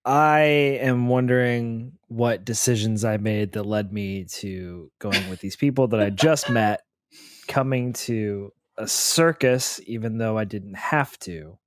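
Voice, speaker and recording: A man playing a tabletop role-playing game, improvising casually in character and haltingly thinking aloud. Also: fairly low pitch, dry recording.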